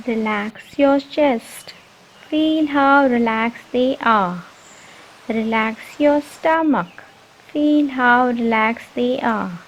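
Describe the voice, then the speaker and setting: A woman leading a guided meditation, giving repetitive, soothing instructions with deliberate pauses. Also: fairly high pitch. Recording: muffled lo-fi, noisy, birdsong behind. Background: birdsong and ambient nature sounds.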